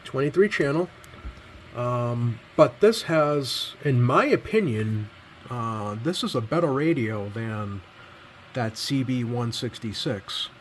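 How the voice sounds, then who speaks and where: Halting man explaining repairs, noisy recording. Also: medium pitch, expressive. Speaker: radio repair hobbyist presenting. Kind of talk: casual explanatory repair vlog.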